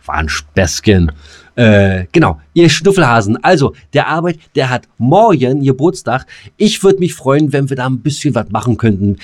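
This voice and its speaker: A man's voice: mid-range voice, sing-song, normal speed. A middle-aged man co-hosting a podcast in casual, slangy, teasing banter. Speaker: podcast co-host.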